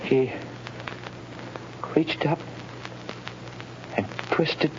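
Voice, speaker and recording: Frightened young convict, extremely slow, hesitant, old radio drama, muffled hissy sound. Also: male voice, fairly high pitch. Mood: dazed. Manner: halting, hesitant. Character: dazed, frightened young condemned man, straining to remember. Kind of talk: 1930s radio drama acting. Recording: muffled old radio recording, clear hiss.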